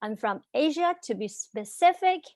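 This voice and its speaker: A woman's voice: high-pitched, lively intonation, moderate pace, darkish tone, no room sound, studio quality. A young woman from China with a Chinese accent who talks with a heavy stutter, repeating sounds over and over, and shares a candid personal story with many fillers on a panel. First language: Chinese dialect and Mandarin. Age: young adult. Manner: frequent fillers, earnest and candid.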